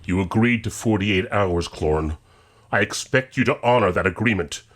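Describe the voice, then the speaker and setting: A firm, weary president acted by a man in an audio drama. The voice is on the low side, serious and under pressure. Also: normal speed, lightly acted. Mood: firm, strained.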